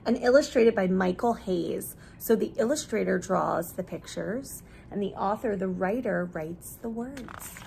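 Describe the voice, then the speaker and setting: A woman teacher reading a picture book aloud expressively, pausing to explain things in simple words for young children. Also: mid-range voice, gravelly, a little hiss or hum.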